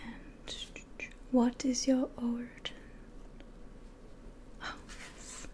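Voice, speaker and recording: A young woman playing a soft-spoken, scheming female demon in an ASMR roleplay, whispering breathily and coaxingly close to the microphone. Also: on the high side, completely flat delivery. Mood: sweetly sinister. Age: 20s. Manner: slow, gentle, coaxing. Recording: close whisper with clear background hiss.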